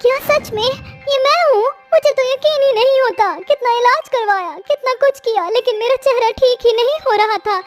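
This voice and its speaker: A woman puts on an excitable young village girl for a storybook. The voice is extremely high, gushing with amazed gratitude, with faint music underneath. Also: normal speed, hardly any pauses. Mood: amazed delight.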